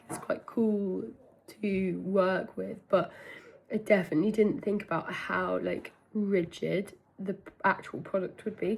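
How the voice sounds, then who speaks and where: A young British woman chatting casually to camera as a vlogger, chatty and self-deprecating, with a husky voice hoarse from illness. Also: medium pitch. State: hoarse from being ill. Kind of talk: casual vlog chat to camera.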